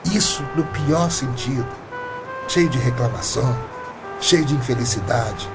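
A man's voice: medium pitch, animated, a little slow, over loud music, close to an everyday voice. An actor with a Brazilian accent playing an earnest, stubborn, lovestruck eighty-year-old man in a radio drama. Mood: earnest, resolute.

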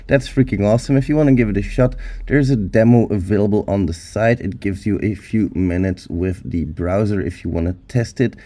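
Hoarse man reviewing tech. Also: on the low side, a little fast, hardly any pauses, mellow. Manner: upbeat, chatty, addresses viewers as hackers. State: hoarse from illness. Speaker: YouTube security reviewer. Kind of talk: casual screen-recorded tech review.

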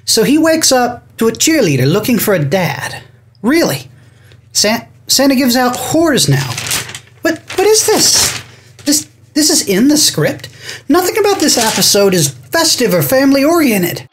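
A woman playing a theatrical, easily scandalized storyteller in an audio drama, with expressive delivery. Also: high-pitched, measured pace, slight background noise. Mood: indignant. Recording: roomy echo, music behind.

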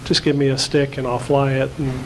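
Man in the audience, mid-pitched, polite and hesitant, lots of uhs, big hall. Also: little pitch movement, brisk, a little hiss or hum. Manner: polite, hesitant, many fillers. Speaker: audience questioner. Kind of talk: asking a question at a panel. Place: large auditorium.

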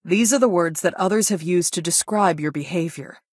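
A woman voicing a strict school guidance counselor in a video game, with a formal, measured, reproachful way of talking. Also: on the low side, no room sound, clean studio sound, lightly acted. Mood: disappointed, concerned. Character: stern female school guidance counselor, adult, strict and disappointed. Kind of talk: video game voice acting, scolding lines.

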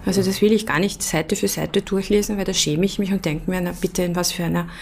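A woman's voice: lowish voice, fairly even intonation, fast talker, a little mellow, slight background noise. A woman hosting a podcast, putting questions to a guest in a conversational way. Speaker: podcast host, interviewer.

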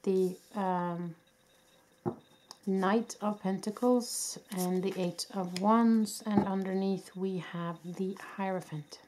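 A woman with a voice worn from a cold, giving a gentle, reassuring pick-a-card tarot reading with many fillers and restarts. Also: medium pitch, fairly even intonation, slow, a little hiss or hum, low-quality recording. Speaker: tarot reader. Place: indoors at a candlelit table. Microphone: camera above a table, hands only.

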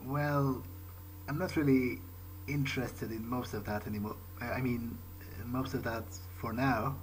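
A man's voice: medium pitch, steady tone, unhurried, slight background noise, low-quality recording. A man roleplaying in character as he plays a video game, thinking aloud in a hesitant, musing way. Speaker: gamer playing in character. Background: prominent game music.

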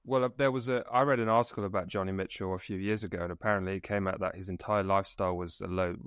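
Deep-voiced young man hosting a podcast. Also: steady tone, racing, clean tone, no echo, studio quality. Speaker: podcast host. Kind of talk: casual podcast interview. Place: small room lit by coloured lights. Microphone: video call.

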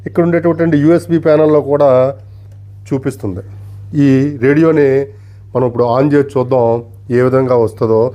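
A man giving an unscripted demonstration, explaining deliberately and politely in short, broken phrases. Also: a little high, dark tone, highs cut off. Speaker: antique radio collector and presenter.

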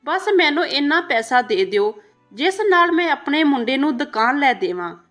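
A woman's voice: sits high, sing-song, moderate pace, a bit bright, faint music behind. A woman voicing a humble, devoted maidservant and mother in a storybook tale, respectful and earnest. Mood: tearful pleading.

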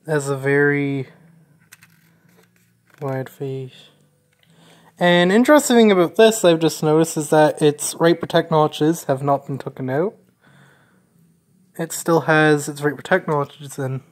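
A woman narrating a casual unboxing in a halting, deliberate way. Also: low-pitched. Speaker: unboxing video maker.

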